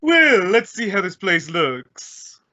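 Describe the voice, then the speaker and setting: Man acting a blustering, high-voiced pompous school principal. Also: sing-song, slow, bright, forceful tone, dry recording, dull audio, exaggerated voice. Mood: brisk, commanding. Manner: imperious, exasperated. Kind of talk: old-time radio comedy acting.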